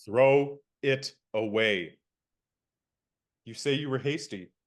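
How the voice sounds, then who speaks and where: A young man acting an indignant valet in a radio play, crisp and lecturing. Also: medium pitch, slow pace, natural voice. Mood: stern.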